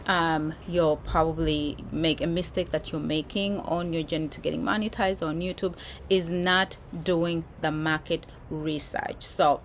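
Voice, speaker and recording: A woman's voice: sits low, slight background noise. A woman from Uganda, a YouTube creator, talking casually to camera with many restarts and fillers. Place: filming while traveling abroad.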